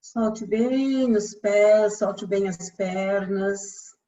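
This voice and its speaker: A Brazilian woman guiding a relaxation meditation as a yoga instructor, speaking calmly and soothingly with long pauses. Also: mid-range voice, poor audio.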